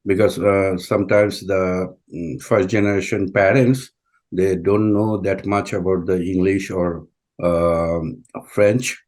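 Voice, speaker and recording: A deep-voiced man, speaking English as an after Bengali. He talks slowly and haltingly, with frequent fillers and restarts as he answers interview questions. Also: a little mellow. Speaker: community school president, guest.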